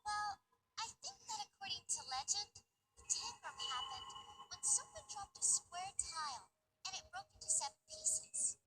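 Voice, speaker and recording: A woman voicing a bright, patient fourteen-year-old little sister in a visual novel, explaining things in a gently philosophical way. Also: very high voice, sing-song, moderate pace, background noise. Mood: patient, thoughtful. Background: game music.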